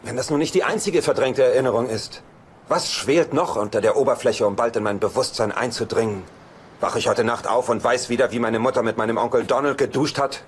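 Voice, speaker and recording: An actor dubbing a neurotic, self-pitying, sarcastic bachelor in a German sitcom, with dramatic exasperation. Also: male voice, mid-range voice, a little fast, slight background noise, close to an everyday voice. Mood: distraught, exasperated.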